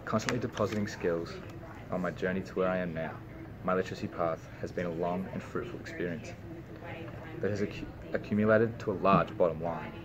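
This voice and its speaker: A man's voice: deep voice, brisk, highs cut off, a crowd in the background. A young Australian man, a university student, reading a prepared personal speech with small slips and restarts. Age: young adult.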